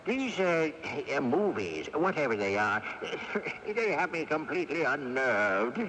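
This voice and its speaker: A man's voice: on the high side, very animated, unhurried, a bit bright. A man playing a cantankerous, courtly old ghost of a great-grandfather in a radio drama, with pompous, old-fashioned diction. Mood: huffy. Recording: noisy old radio broadcast, long ghostly reverb.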